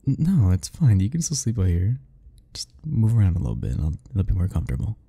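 A man's voice: on the low side, huge pitch swings, quick pace, vocal fry, warm tone, husky. A young man speaking a playful, teasing ASMR roleplay straight to the listener, laughing often between lines.

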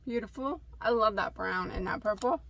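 A woman's voice: medium pitch, normal speed, gravelly, a bit bright. A woman in her thirties from Illinois, hosting a casual yarn haul chat in a craft room, enthusiastic and laughing at herself. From Illinois, USA. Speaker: crochet channel host.

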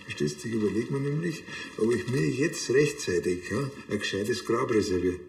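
A man's voice: mid-range voice, moderate pace, creaky, darkish tone, reverberant, in a large hall, natural voice. An actor playing an earnest, fussy village policeman with a Bavarian accent in a TV crime comedy.

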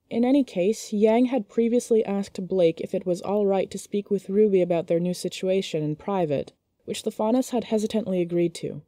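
Mellow woman narrating a story. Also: medium pitch, no room sound, clean studio sound.

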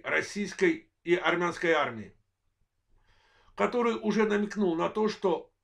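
A man in his forties from Azerbaijan with a worn voice, delivering a political analysis monologue in measured, halting short phrases as host of his own channel. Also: sits high, vocal fry, fairly bright tone. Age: 40s. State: voice worn, apologizes for it.

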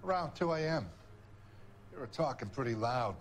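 An actor playing a gruff older diner owner in a video game, blunt and terse. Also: male voice, medium pitch, expressive, moderate pace, lightly acted. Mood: grudging. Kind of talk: cinematic video game acting.

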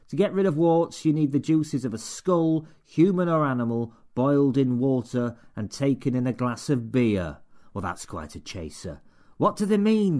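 Sour boss who sneers at his staff, pitched up well above the narrator's own low voice, a male reader doing the voice. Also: a little mellow. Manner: sneering, sarcastic, bossy. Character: sour, contemptuous older male barber-shop boss.

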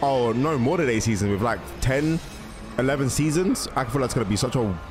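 A man's voice: medium pitch, lively intonation, a little fast. A young man giving casual, slangy reaction commentary, musing aloud into a close microphone. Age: young adult. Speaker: reaction video host. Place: small indoor room at a desk. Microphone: close microphone on arm, headphones on. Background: music from the cartoon intros being watched.

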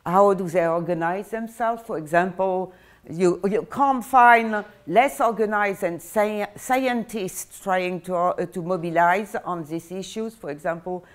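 An older woman with a French accent lectures in a classroom, her voice caught from a distance. She talks in a halting way, filling pauses and restarting her sentences. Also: fairly low pitch. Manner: hesitant, many fillers and restarts. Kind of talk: university lecture. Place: classroom with projector screen.

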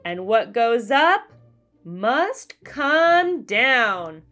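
Woman, high bright voice, unhurried expressive storybook narration for kids, music behind. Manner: animated, deliberate storyteller delivery. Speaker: children's read-aloud host.

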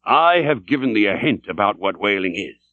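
A man's voice: big pitch swings, moderate pace, no room sound, narrow, tinny audio. A man voicing a fierce, blustering old male sea captain who barks archaic questions. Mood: fierce, lecturing. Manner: booming, archaic, interrogating.

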